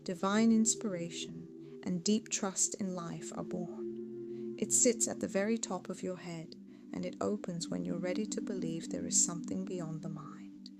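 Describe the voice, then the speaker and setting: Low-pitched, creaky woman guiding meditation over music. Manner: soothing, measured, hushed at phrase ends. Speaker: meditation guide. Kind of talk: slow guided meditation narration, hypnotic.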